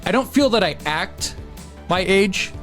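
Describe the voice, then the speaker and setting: A man's voice: sits high, expressive, a little slow, a bit bright, faint music behind. A man chatting casually as a podcast host, informal and emphatic, often repeating himself. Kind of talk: casual chat.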